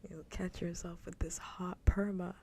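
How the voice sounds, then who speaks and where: A woman chatting casually on an ASMR livestream in a soft, breathy whisper, teasing playfully and repeating her phrases, close to the microphone. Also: on the low side, little pitch movement, moderate pace, slight background noise. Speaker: livestream host.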